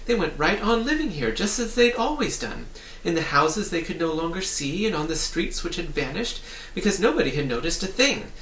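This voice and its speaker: Man voicing an eager, higher-pitched storybook boy guide. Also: big pitch swings, normal speed, hardly any pauses, fairly bright tone. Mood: matter-of-fact, emphatic. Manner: emphatic, explanatory. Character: cheerful, eager boy guide, full of wonder. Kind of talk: audiobook character voice. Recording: roomy, distant, hissy home recording.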